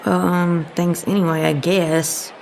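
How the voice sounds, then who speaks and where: A woman's voice: fairly low pitch, level tone, slow pace, vocal fry, a little mellow, a little hiss or hum, low-quality recording. A woman playing a short-tempered, sardonic agent in a comedic audio drama, with a dry, scolding manner. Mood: puzzled. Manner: dry, sarcastic, folksy scolding.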